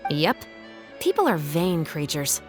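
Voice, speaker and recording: A woman playing a level-headed police captain in a video game, speaking with dry, measured composure. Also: sits low, sing-song. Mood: matter-of-fact. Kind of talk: video game character dialogue. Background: music.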